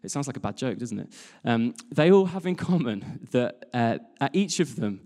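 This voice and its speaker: A man's voice: mid-range voice, sing-song, normal speed, warm tone. British man preaching in a reverberant hall. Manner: chatty, jokey, engaging the audience with questions. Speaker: church worship team leader giving the message. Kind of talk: informal sermon to a congregation. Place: large church hall in front of a congregation. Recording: reverberant hall, live church talk.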